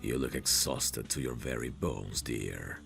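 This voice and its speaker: A man acting out a possessive, protective mafia boss in an intimate audio roleplay. His voice is extremely deep and gravelly, and he speaks slowly and deliberately. He sounds smooth and sure of himself, coaxing with an edge of menace. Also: music in the background, heavily acted. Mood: commanding, possessive. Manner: slow, deliberate, self-assured, coaxing with pet names.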